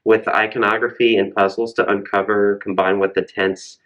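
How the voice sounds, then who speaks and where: A man's voice: fairly low pitch, little pitch movement, moderate pace, fairly bright tone, highs cut off. A man co-hosting a casual book recommendation chat. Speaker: library podcast co-host.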